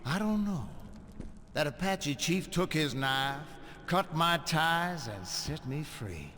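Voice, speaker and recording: A man's voice: fairly high pitch, animated, takes it slowly, heavily acted. A man playing a proud, vengeful Confederate colonel in a Western game, grandiose and theatrical. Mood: brooding. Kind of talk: dramatic video game acting. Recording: long reverb with loud music.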